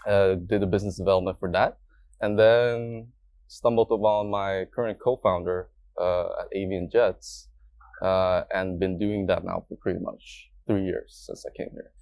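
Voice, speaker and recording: A man's voice: deep voice, steady tone, moderate pace, darkish tone, no echo. A man from Sweden speaking as a guest in a casual interview in a coworking space, easygoing and full of fillers. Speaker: guest, startup co-founder.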